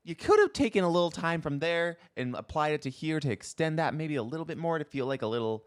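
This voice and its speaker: A man's voice: on the high side, expressive, fast, smooth, no echo, studio quality. A man co-hosting a podcast, chatting casually about pop culture in a rambling way full of filler words. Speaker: podcast co-host.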